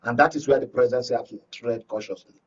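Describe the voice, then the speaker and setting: A Nigerian man giving commentary as a panel guest, speaking haltingly and checking that listeners follow. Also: medium pitch, steady tone, breathy edge, distant mic. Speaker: guest media analyst. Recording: dull audio, away from the mic.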